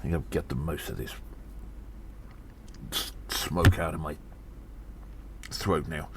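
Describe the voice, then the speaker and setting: A man playing a weary gnome investigator in an audio drama, musing haltingly and deliberately with breathy sighs. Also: extremely low pitch, steady tone, full character voice. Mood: tired, resolved. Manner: slow, deliberate, halting, pondering. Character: weary gnome investigator reporting on a spy conspiracy. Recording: faint background noise.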